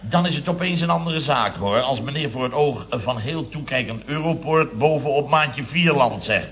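Radio comedy boss, male actor: exclamatory, scornful, blustering, mid-pitched, dull vintage sound. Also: animated, moderate pace, background noise, natural voice. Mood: aggrieved. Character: blustering, sarcastic older boss of a building firm. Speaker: company boss. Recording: muffled old radio recording.

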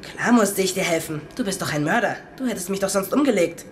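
An actress in a German radio drama playing a casual, plucky youngster with a matter-of-fact manner. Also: female voice, medium pitch, expressive, fast talker, a little hiss or hum, natural voice. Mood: casual, helpful.

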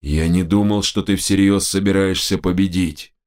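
A man voicing a solemn, measured chief shaman in a fantasy audiobook. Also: low-pitched, fairly even intonation, a little mellow, smooth, lightly acted. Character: grave male chief shaman, solemn, awed.

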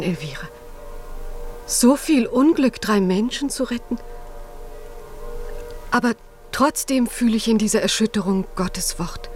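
A woman's voice: medium pitch, lightly acted. An actress playing a devout, determined young mother in a 1950s German radio drama, speaking with earnest, lyrical fervour.

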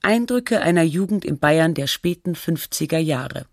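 A performer playing a sober radio documentary narrator, reading a scripted feature in a measured, factual, reflective way. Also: mid-range voice, lively intonation, dry recording, clean studio sound, close to an everyday voice. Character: sober documentary narrator telling an artist's life.

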